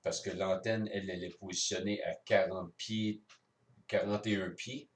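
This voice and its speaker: A man's voice: low-pitched, fairly even intonation, moderate pace. A man with a Quebec French accent explains things step by step in a casual hands-on demonstration, as an amateur radio operator. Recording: roomy echo, distant microphone.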